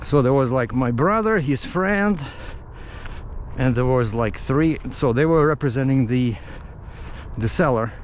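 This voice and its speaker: A middle-aged man from Russia with a Russian accent tells stories casually in English as a non-native speaker, haltingly searching for words. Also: mid-range voice, lively intonation. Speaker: vlogger. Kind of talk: casual rambling storytelling. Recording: outdoor street noise with traffic.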